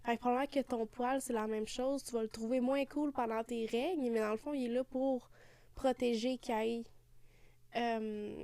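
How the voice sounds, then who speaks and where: A woman's voice: a little high, steady tone, normal speed, warm tone, no room sound. A young Québécois woman chatting as podcast co-host, often dropping in English expressions and hesitant fillers. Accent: Québécois French. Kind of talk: casual chat.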